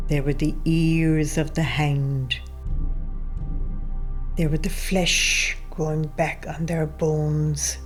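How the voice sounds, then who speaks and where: An actress as a solemn Irish elegist, low and level in tone. She recites very slowly, like an incantation. Gentle music plays behind her. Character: solemn woman reciting an Irish rebel elegy. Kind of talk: dramatic poetry recitation. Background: soft new-age music.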